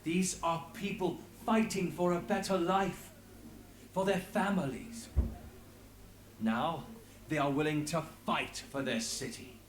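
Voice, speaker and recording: An actor playing an earnest, idealistic investigator in a fantasy game, speaking deliberately. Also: male voice, fairly high pitch, reverberant, slight background noise. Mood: impassioned. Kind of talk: dramatic fantasy game voice acting.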